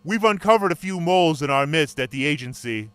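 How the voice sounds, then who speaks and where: A man doing a smooth, cold agency interrogator. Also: a little high, brisk. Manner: flat, matter-of-fact. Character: unmasked male agency interrogator, cold and official.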